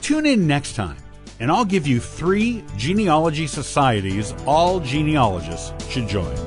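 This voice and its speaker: A man hosting a podcast, reading scripted instructional narration in a deliberate, clearly structured way. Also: on the high side, very animated, over music. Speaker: podcast host.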